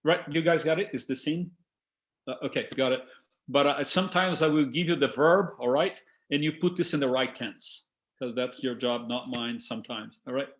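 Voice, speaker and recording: A man from Brazil whose first language is Portuguese, speaking English with a Brazilian Portuguese accent, giving a lively, engaging talk to a group on a video call. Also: mid-range voice, moderate pace. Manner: lively and engaging, addresses listeners as folks. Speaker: guest speaker.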